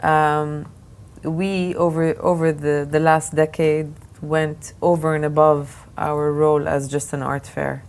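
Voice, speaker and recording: A woman's voice: deep voice, flat delivery, unhurried, hardly any pauses, a little mellow. A woman originally from Lebanon, answering interview questions as an art fair director, with thoughtful answers full of restarts and fillers. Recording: faint background noise.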